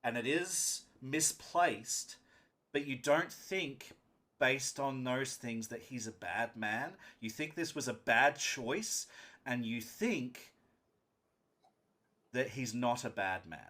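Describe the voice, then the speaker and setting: A man's voice: mid-range voice, expressive, slow, bright, forceful tone. A man narrating a live tabletop roleplaying game as game master, speaking emphatically and often checking in with his players.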